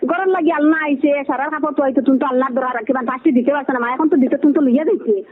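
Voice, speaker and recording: A woman's voice: sits high, racing. A middle-aged woman with a Sylheti accent, venting in an aggrieved, repetitive way during a heated family phone conversation. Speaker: caller. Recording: tinny, phone-like call recording.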